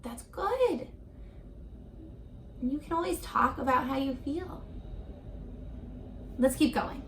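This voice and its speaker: A woman teacher reading a picture book aloud to young children, expressive and encouraging, pausing for chatty asides and questions. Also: high-pitched, a little hiss or hum. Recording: roomy echo.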